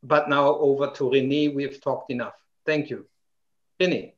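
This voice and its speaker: A man's voice: medium pitch, steady tone, normal speed. A man whose first language is German hosts an online discussion over a video call, hesitant and full of fillers. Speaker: host. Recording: dull video call audio.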